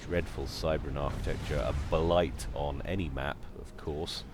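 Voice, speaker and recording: A man's voice: very deep voice, a little slow, background noise. A man casting a strategy game in chatty play-by-play, slightly nasal from a cold. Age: adult. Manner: lively, chatty play-by-play with wry asides. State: has a cold. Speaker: game caster. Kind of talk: live strategy game commentary.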